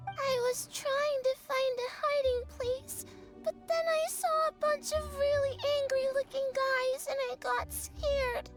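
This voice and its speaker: A woman voicing a timid, apologetic little girl in a video game, childlike and halting. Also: very high voice, fairly even intonation, over loud music. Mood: tearful, scared. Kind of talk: video game cutscene acting.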